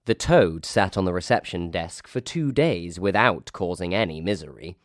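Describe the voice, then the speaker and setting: Deep-voiced Northern English man reading aloud. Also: normal speed, no room sound, clean studio sound. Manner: lively, switches to high voices for quoted staff. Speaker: reader. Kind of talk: storytelling reading of a comic ghost story.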